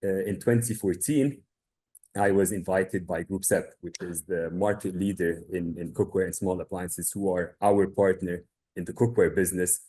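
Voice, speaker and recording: A low-pitched man in his thirties from Egypt speaks over a video call. He recounts his background in an even, measured way and often pauses on filler sounds. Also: slightly rough. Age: 30s. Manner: measured storytelling with frequent uh fillers. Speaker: guest, family business owner. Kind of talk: webinar presentation.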